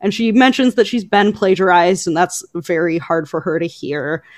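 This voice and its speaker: A woman co-hosting a podcast, talking through book news conversationally with hesitant pauses as she picks her words. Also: lowish voice, dry recording, studio quality. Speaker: podcast co-host.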